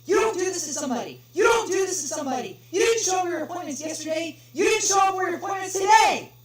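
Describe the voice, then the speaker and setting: A woman voicing a furious male customer on a support call, ranting in a shrill shout. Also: expressive, fast talker, distant mic. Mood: screaming. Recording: echoey room with noisy hum.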